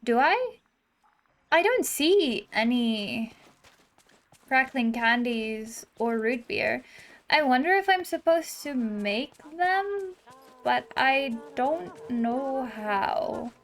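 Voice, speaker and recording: A young woman doing casual, chatty let's play commentary, thinking out loud as she goes. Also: sits high, animated, extremely slow. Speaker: gaming YouTuber.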